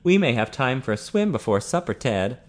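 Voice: medium pitch, sing-song, fairly quick, lightly acted. A narrator playing a cocky, flamboyant young man who is teasing and pleased with himself, in a story reading. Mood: smug, amused. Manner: snickering, exclamatory.

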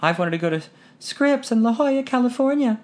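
A man voicing a shy, earnest young woman student in an audiobook, his voice pitched well up and set back in a softer, more distant tone. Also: lively intonation, brisk. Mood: eager.

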